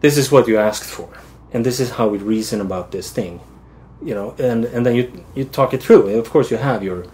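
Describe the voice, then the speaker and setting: A man's voice: medium pitch, fairly quick, darkish tone. A man from Sweden, an art director guest, talking thoughtfully and agreeably in an interview. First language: Swedish. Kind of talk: casual interview answers.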